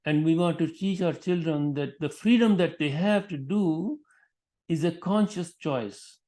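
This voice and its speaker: A man with a fairly high-pitched voice talks about teaching children through a religious framework. He speaks off the cuff, breaking off mid-phrase and starting again, and he sprinkles Arabic honorifics through his sentences. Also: mellow. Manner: halting, with frequent restarts and Arabic honorifics. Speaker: Islamic education speaker. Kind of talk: unscripted talk on teaching.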